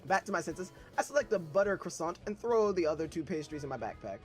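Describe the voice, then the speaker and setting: Young man, storybook reader, irreverent asides, animated pitch, faint background music. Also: fast. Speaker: reader of a novel. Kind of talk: reading a novel aloud with casual asides.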